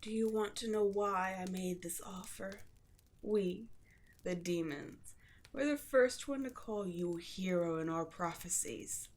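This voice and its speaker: A woman playing an imperious, seductive demon queen in a roleplay audio drama, slow and theatrical, often slipping into a breathy whisper. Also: medium pitch, lightly acted. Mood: seductive, coaxing.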